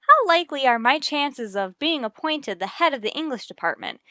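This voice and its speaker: An actress playing a wry, wisecracking schoolteacher in an old radio sitcom. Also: female voice, sits high, animated, moderate pace, pushed and bright, no room sound, clean studio sound. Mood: deadpan. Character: wry, wisecracking female schoolteacher and cheerful female companions. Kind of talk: 1950s radio sitcom acting.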